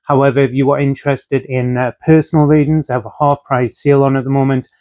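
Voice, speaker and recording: A young man with a medium-pitched voice, still rough and slightly off from a chest infection, talks through a tarot reading. He speaks in a relaxed, chatty way, drifting through ums and uhs as he lays out each card. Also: quick pace. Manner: relaxed and conversational, frequent filler ums and uhs. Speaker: tarot reader. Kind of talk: casual tarot reading to camera.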